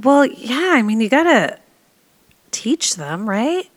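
A woman's voice: on the high side, sing-song, takes it slowly, no echo. A young adult woman co-hosting a podcast, joking and laughing often in casual banter. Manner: giggly, jokey, laughs often. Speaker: podcast co-host.

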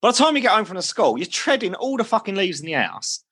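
Bright, husky man ranting. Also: sits high, very animated, quick pace. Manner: exasperated ranting, swears often. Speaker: podcast co-host. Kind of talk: casual banter.

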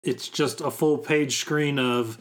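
A young man co-hosting a podcast in casual chat, slightly hoarse, laid-back and joking. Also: mid-range voice, fairly even intonation, takes it slowly. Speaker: podcast co-host.

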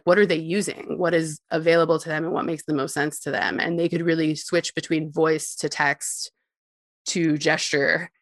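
A woman's voice: low-pitched, no room sound, clean studio sound. A woman guest giving long explanatory interview answers about technology, often with a light laugh.

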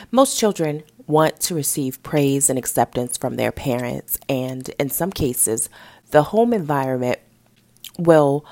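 A woman hosting a mental health podcast, a little raspy and nasal from a head cold, teaching in an upbeat, encouraging way. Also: very deep voice, no room sound. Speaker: podcast host. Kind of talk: podcast education talk.

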